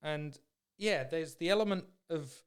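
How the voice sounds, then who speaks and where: A man's voice: fairly high pitch, measured pace, smooth. A man hosting a podcast in casual chat, with an informal, matey way of talking. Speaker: podcast host.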